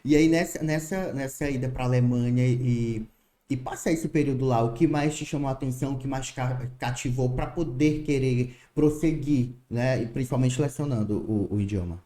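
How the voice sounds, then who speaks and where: Mellow-voiced man hosting an interview. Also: medium pitch, moderate pace. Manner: hesitant, repeats words when starting questions. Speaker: interview host. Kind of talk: interview questions and backchannels. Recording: echoey room.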